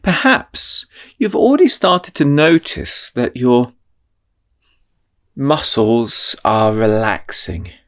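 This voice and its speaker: A man leading a guided meditation in a slow, soothing, drawn-out way. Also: medium pitch, big pitch swings.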